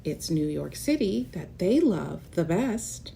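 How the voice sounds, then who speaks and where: Woman, storybook read-aloud, unhurried pace, mellow voice, expressive, explanatory asides for kids, faint low rumble behind. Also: vocal fry. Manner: expressive, explains and points things out for children. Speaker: children's read-aloud host.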